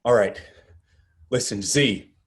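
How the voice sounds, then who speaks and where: A man acting in a script read as a rattled, foul-mouthed leader of a trapped group, speaking in a halting, urgent way. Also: medium pitch, close to an everyday voice. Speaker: actor in a screenplay table read. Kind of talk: acted script reading.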